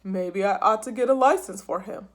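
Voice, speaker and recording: A woman's voice: mid-range voice, moderate pace, close to an everyday voice. A woman voicing an earnest, indignant middle-aged man who keeps a penguin. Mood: insistent. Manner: earnest, insistent. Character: indignant middle-aged male pet owner.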